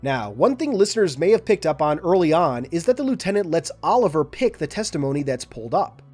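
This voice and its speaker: Male host, scripted analysis narration, a bit high, over soft music. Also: very animated, fairly quick. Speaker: lore video host.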